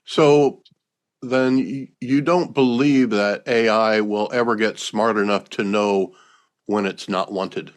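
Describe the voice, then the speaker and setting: A man hosting a podcast, asking a guest measured, relaxed questions. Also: on the low side. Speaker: podcast host. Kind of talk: interviewing a guest.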